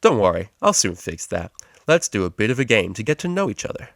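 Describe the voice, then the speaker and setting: A man's voice: on the low side, very animated, brisk, vocal fry, fairly bright tone, dry recording, studio quality, full character voice. A man voicing a cheery, boisterous male pony who teaches psychology, in a storybook reading. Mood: playfully chiding. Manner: boisterous, jovial, encouraging.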